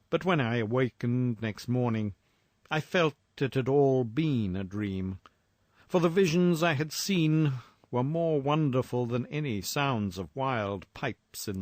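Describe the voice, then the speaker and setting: Male narrator for gothic horror fiction: unhurried, literary delivery, mid-pitched, dry recording. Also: no echo. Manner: measured, literary storytelling.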